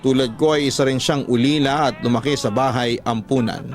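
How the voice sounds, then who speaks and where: A man with a Filipino accent narrating a scripted story as a radio drama host, in an emotive, deliberate storytelling delivery. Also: mid-range voice, steady tone. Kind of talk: scripted dramatic narration of letter-sender stories. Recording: voice over background music. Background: music.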